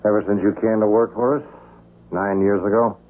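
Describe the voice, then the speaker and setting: A man's voice: lowish voice, fairly even intonation, brisk, natural voice. An actor playing a businesslike, matter-of-fact man working for a shady firm in a 1970s radio drama. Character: businesslike, smooth-talking male agent of a shady firm. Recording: muffled vintage radio, faint noise.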